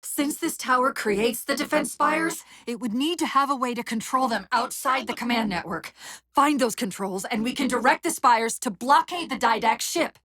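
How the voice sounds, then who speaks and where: A woman playing a brisk, wry, urgent military AI companion in a video game, giving crisp commands and tactical briefings. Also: on the high side, fairly bright tone, dry recording, studio quality. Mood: brisk, focused.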